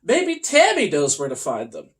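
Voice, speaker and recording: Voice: medium pitch, wildly swooping pitch, normal speed, distant mic. A storyteller voicing a friendly male balloon, a retired circus performer, apologetic and eager to help. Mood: apologetic, helpful.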